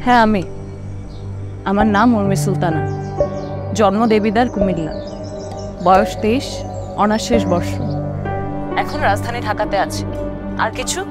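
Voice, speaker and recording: A woman's voice: medium pitch, moderate pace, long pauses, over music, lightly acted. A woman acting in a TV drama as a reproachful young Bangladeshi ex-girlfriend in her twenties, wistful and pointed. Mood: coolly pointed. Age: 20s.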